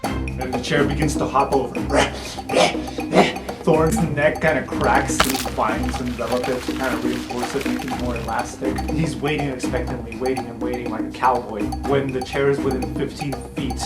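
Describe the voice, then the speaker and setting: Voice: mid-range voice, fairly even intonation, normal speed, far from the mic. A game master narrating an improvised tabletop roleplay scene as a storyteller, with spoken sound effects. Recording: echoey room with music underneath. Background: music.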